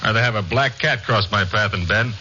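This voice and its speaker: A man's voice: on the low side, brisk, bright, forceful tone. An actor playing a gossipy, superstitious, suspicious local man in a radio drama, speaking bluntly and knowingly in short phrases. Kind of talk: 1950s radio drama acting. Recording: muffled, hissy 1950s radio recording.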